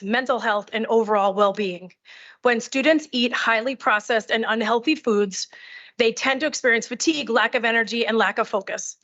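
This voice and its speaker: High-voiced middle-aged woman giving testimony. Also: a bit bright. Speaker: teacher testifying. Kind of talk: prepared public testimony. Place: committee hearing. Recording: dull, muffled audio.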